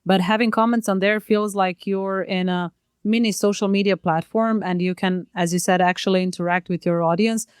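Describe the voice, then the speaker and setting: A woman's voice: mid-range voice, fairly even intonation, normal speed, smooth, dry recording, studio quality. A woman whose first language is Croatian, co-hosting a podcast in casual, thoughtful conversation from a home setup. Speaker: podcast co-host. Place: child's bedroom used as home studio. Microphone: podcast mic at home.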